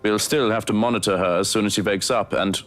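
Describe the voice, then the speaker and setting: A man playing a gentleman's confidant in a visual novel, measured and questioning. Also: lowish voice, fairly even intonation, close to an everyday voice. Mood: businesslike. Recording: music behind the voice.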